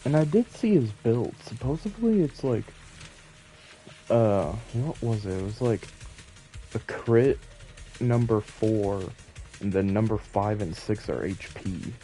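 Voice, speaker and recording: A man's voice: on the low side, expressive, takes it slowly, warm tone. A man giving casual, rambling, self-deprecating live commentary as he streams a game, musing to viewers. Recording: game music behind voice. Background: video game music.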